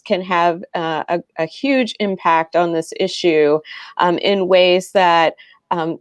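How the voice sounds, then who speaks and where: A woman minister answers as a guest in an earnest, measured way, now and then restarting a word. Her voice is a touch low, and the recording is dry with no room sound. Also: no echo. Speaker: reverend, guest. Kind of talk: reflective interview answer.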